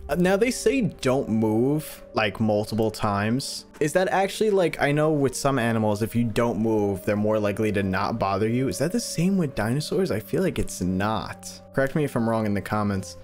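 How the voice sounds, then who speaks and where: A man in his twenties hosting reaction videos with casual commentary, close on a desk microphone. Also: mid-range voice. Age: 20s. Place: small furnished room indoors.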